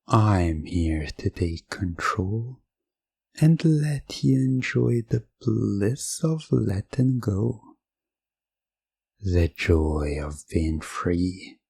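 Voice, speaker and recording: Deep, slow, husky hypnotist man. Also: no echo. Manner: soft, commanding, repetitive hypnotic suggestion. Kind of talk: hypnosis ASMR roleplay.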